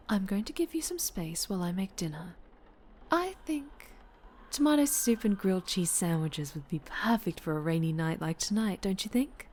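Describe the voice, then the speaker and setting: A woman voicing a possessive, sinister superhero in an ASMR roleplay, soft and often whispered, with a tender, coaxing menace. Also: mid-range voice, a little hiss or hum. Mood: tender, soothing. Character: possessive, sinister female superhero, a sweetly menacing obsessive captor.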